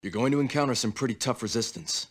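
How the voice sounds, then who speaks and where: An actor playing a gruff, sardonic military commander giving mission briefings in a video game cutscene. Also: male voice, mid-range voice, quick pace, close to an everyday voice. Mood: wry, stern. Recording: music behind the voice. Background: music.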